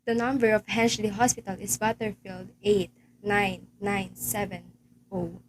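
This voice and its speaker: A woman's voice: medium pitch, little pitch movement, measured pace, natural voice, low-quality recording. A woman playing an impassive telephone operator in an amateur radio play, courteous and formulaic, with some noise on the recording. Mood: detached, courteous.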